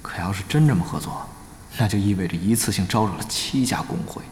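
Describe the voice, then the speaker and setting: An actor playing an excitable, exasperated young male guild leader in an online-game audio drama. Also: on the low side, fast, slight background noise, natural voice. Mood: worried, musing. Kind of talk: Chinese audio drama acting. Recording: roomy reverb, music bed. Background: background music.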